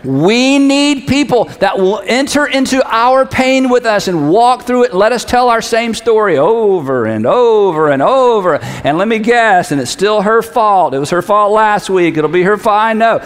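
A man's voice: high-pitched, animated, moderate pace, hardly any pauses. A middle-aged man giving a conversational sermon as a pastor, speaking emphatically and repeating key phrases. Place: large hall, preaching to an audience. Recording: reverberant large hall.

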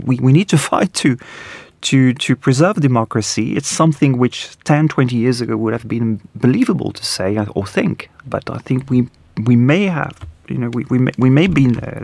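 A man's voice: medium pitch, vocal fry, a little mellow, dry recording. A man from France with a French accent, a non-native speaker, talking as a radio panel guest and often restarting his sentences. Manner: hesitant, many restarts. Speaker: studio guest commentator.

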